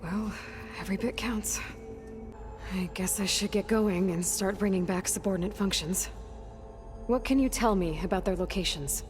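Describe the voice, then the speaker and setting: Actress as young game heroine on a quest: determined, direct, no-nonsense, mid-range voice, light music behind. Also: female voice, mid-range voice, moderate pace, close to an everyday voice. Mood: practical, wry. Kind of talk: video game cutscene dialogue.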